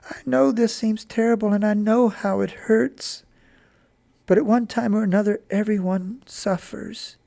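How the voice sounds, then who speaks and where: A man reading a story aloud, voicing a gentle, patient mother who speaks softly and slowly to comfort her son. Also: sits high, fairly even intonation, gravelly, no room sound, pitched above the performer's own voice. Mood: soothing. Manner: soft, slow and soothing.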